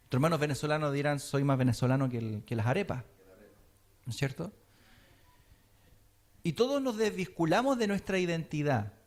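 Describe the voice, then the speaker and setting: A man's voice: a little high, lively intonation, brisk, pauses often. A man teaching a sermon, often checking in with his listeners. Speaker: teacher of a Messianic congregation.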